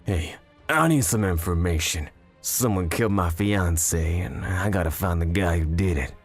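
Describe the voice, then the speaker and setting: A man's voice: low voice, normal speed, faint music behind. A man playing a desperate, grieving fiancé in a manga drama, pleading and impassioned for vengeance. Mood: urgent.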